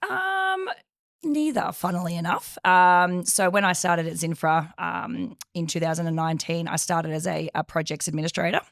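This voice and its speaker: Young female guest, a bit of vocal fry, voice going, hesitant answers. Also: on the low side, lively intonation, normal speed, no echo, studio quality. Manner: hesitant, many fillers. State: losing her voice. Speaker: project coordinator guest. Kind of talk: casual interview answers.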